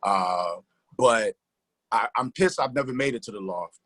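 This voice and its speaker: A man's voice: medium pitch, unhurried. A middle-aged man reminiscing casually and colloquially, often repeating his phrases. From New Jersey. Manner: colloquial, repeats phrases, gives shout-outs.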